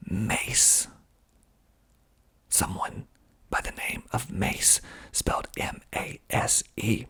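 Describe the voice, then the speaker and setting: Slow, breathy whispering man, ASMR style. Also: mid-range voice. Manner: slow, deliberate, hushed delivery. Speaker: filmmaker reading reviews of his movie. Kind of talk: whispered ASMR reading and commentary.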